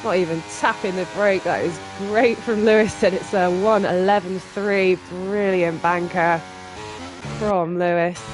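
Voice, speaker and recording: A sim racing commentator calls a live session, voice thick and stuffy from a cold. The pitch sits in the middle, and the delivery jumps quickly with excitement at fast laps. Music plays clearly in the background. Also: low-quality recording. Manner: excitable, quick reactions to lap times. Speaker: race commentator.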